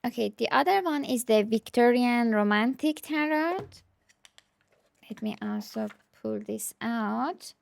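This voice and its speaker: Slow-talking woman with a higher voice. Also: lively intonation, gravelly, no room sound. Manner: hesitant, thinking aloud. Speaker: tarot deck reviewer. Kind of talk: casual unscripted show-and-tell.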